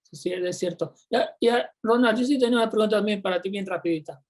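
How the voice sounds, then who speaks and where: A panel moderator poses long, winding questions to the speakers. The speech hesitates often, with fillers and repeated words, and sits at a middle pitch. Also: quick pace, darkish tone.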